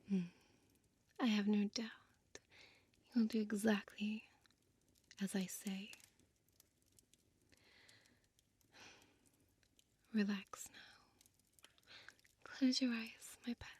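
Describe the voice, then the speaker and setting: A woman playing a seductive, possessive vampire innkeeper in an ASMR audio roleplay, whispering softly with hypnotic, commanding slowness. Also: fairly high pitch, exaggerated voice. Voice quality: breathy, soft whisper. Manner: slow, hypnotic, coaxing, deliberate pauses.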